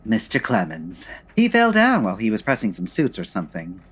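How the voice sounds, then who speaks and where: A lowish, husky voice a suave gentleman detective in a comic radio mystery. He is urbane and wry, with dry wit and a lively range. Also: a little fast, heavily acted. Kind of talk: comedic old-time radio detective drama acting.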